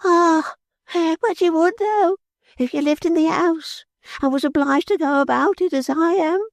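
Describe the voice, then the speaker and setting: A man's voice: very high voice, a little slow, vocal fry, no room sound, voice pushed up, full character voice. A man reading an audiobook, voicing a frightened, superstitious servant woman with rustic, ungrammatical speech. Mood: anxious. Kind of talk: audiobook character voice.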